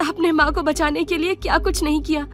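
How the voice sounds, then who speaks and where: A woman's voice: high-pitched, fairly even intonation, fairly quick, close to an everyday voice. A woman acting an earnest, polite, protective young sister in an audio drama, with a pleading, emotional manner. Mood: remorseful, pleading. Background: music.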